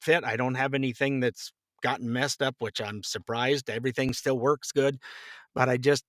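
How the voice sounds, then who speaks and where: A man in his sixties telling casual anecdotes as a podcast guest, with a jokey, animated manner. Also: mid-range voice, normal speed, dry recording, clean studio sound. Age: 60s. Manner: jokey, animated, slips into voices when quoting.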